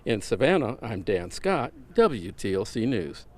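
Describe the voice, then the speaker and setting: Lively male local news reporter. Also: on the low side, measured pace, background noise. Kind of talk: scripted broadcast news reporting.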